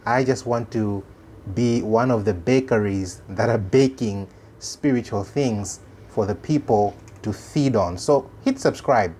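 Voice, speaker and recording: A man from Malawi with a Malawian accent, a native Chichewa speaker, hosting an informal religious commentary in an earnest, halting way that repeats phrases for emphasis. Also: lowish voice, mellow, a little hiss or hum. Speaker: host of a religious commentary channel.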